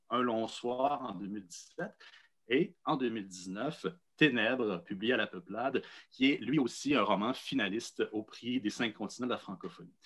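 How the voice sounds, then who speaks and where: A man with a Québécois accent hosting a literary interview in a measured, explanatory way. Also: medium pitch, fairly bright tone. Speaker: host, interviewer.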